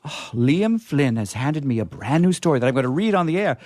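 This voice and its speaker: Male host for live radio chat: very expressive pitch, discursive and a touch theatrical, in a dry studio sound. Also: fairly quick, no echo. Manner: rambling, playfully theatrical. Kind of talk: chatty live radio talk.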